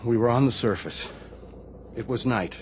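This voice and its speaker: A man playing a troubled, guilt-ridden middle-aged Navy chief in a radio drama, speaking haltingly. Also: medium pitch, a little mellow, slight background noise, natural voice.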